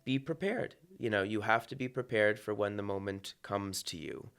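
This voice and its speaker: A man with a low, smooth, resonant voice, interviewed as a conductor on a podcast. He speaks reflectively, breaking off and restarting his thoughts with fillers as he goes. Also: normal speed, fairly bright tone.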